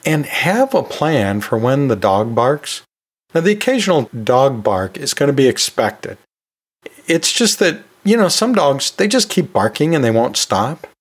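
A man's voice: mid-range voice, big pitch swings, unhurried, breathy edge. A middle-aged American man hosting a podcast, giving teaching tips in an informal, folksy and chatty way with light self-deprecation. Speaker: podcast host and college instructor.

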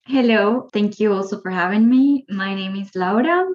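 A woman from Guatemala, an animation student guest, speaking calmly in a casual interview. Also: medium pitch, moderate pace, lo-fi sound.

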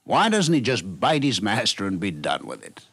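Animated 1950s American radio narrator, male. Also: fairly low pitch, moderate pace, vocal fry, husky, no echo.

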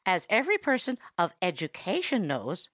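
A woman's voice: fairly low pitch, huge pitch swings, moderate pace, a bit bright, dry recording, studio quality, exaggerated voice. A woman voicing a vain, pompous female parrot who tells stories in a grand, easily offended manner. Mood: haughty.

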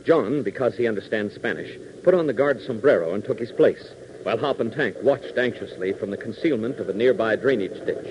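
A man's voice: on the low side, lively intonation, brisk, hardly any pauses. An American man narrating a 1940s radio adventure serial in a dramatic, declamatory announcer style. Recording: muffled, hissy 1940s radio transcription.

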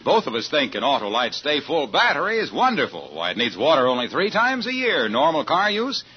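A man with an American accent delivering scripted announcements and sales pitches in 1940s radio style. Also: huge pitch swings, brisk, husky. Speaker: commercial announcer. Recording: muffled 1940s radio broadcast, music.

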